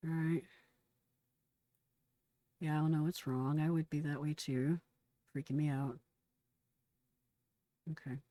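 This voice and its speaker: A woman's voice: low voice, steady tone, takes it slowly, long pauses, mellow, no room sound. A middle-aged American woman giving casual, blunt and exclamatory reaction commentary in slangy speech. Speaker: retired emergency dispatcher reacting to a call recording.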